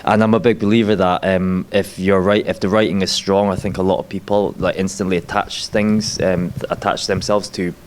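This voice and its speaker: An actor and filmmaker who grew up in Scotland, rambling with lots of "like" and "you know" at a festival panel. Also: male voice, sits low, level tone, fairly quick, hardly any pauses, a little hiss or hum.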